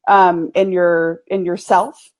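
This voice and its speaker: A woman in her forties with an East Texas accent, a guest in a casual interview chat, folksy and quick to laugh. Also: lowish voice, little pitch movement. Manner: laughs often, folksy and upbeat, restarts phrases. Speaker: guest, agency owner.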